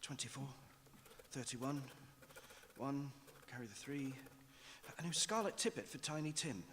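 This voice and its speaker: A man's voice: mid-range voice, measured pace, natural voice. Man acting meek clerk in a noisy recording. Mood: absorbed muttering. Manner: hesitant, stammering, apologetic. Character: meek, timid male clerk, humble and deferential. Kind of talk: old-time radio drama acting. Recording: noisy distant stage recording.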